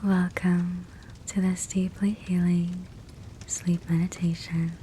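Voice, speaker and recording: A woman guiding a sleep meditation in a soft, breathy whisper, gentle and soothing, with measured phrasing and long pauses. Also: fairly low pitch. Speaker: sleep hypnosis meditation guide. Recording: steady fireplace crackle behind voice. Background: fireplace sounds.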